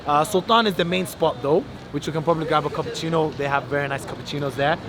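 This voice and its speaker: A man's voice: a little high, fast, crowd noise. A man from the UK with a British accent, acting as a chatty, informal local guide out on the street. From United Kingdom. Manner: chatty, informal, lists and explains. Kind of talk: casual guided-tour chat. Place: outdoors on city streets and in vehicles. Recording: noisy outdoor street and traffic.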